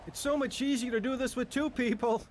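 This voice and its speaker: A man's voice: extremely high pitch, steady tone, fairly quick, background noise. A man playing a cheerful, content, devout younger brother in an audio drama, easygoing and upbeat.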